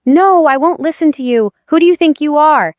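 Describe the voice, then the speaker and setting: A woman's voice: sits high, moderate pace, a little mellow, dry recording, clean studio sound, voice pushed up, lightly acted. A woman voicing an angry, grieving young heroine in a romance audio drama, defiant and panicked. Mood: frantic, shouting.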